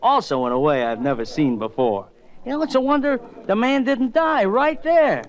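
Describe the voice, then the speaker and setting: An actor playing a chatty gin mill owner who loves trouble, in a 1950s radio drama. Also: male voice, high voice, very animated, normal speed. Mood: gleeful. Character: gossipy, gleeful male gin mill owner.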